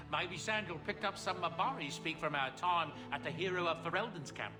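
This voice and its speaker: A man's voice: fairly high pitch, moderate pace, music in the background, close to an everyday voice. An actor playing a cheerful, fatherly dwarf merchant in a video game. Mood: amused.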